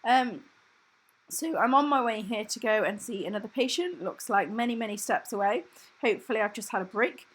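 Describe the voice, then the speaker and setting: A young woman, a junior hospital doctor, talking casually to camera in a vlog. Her voice is croaky from a cough and she sounds tired, with many ums. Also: on the high side, expressive, brisk, a bit bright. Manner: chatty, tired, filler-heavy.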